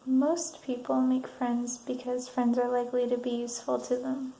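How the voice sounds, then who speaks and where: A woman doing a soft-spoken ASMR counselor roleplay, reading out assessment statements in an unhurried way with pauses. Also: on the high side, completely flat delivery, gravelly. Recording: roomy sound, faint background noise.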